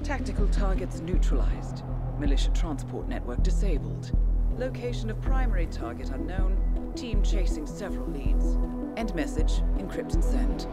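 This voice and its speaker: An actress playing a businesslike mission operator in a game cutscene, giving a clipped, impersonal report. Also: female voice, fairly low pitch, very animated, normal speed. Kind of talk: cinematic game cutscene acting. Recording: loud music behind the voice.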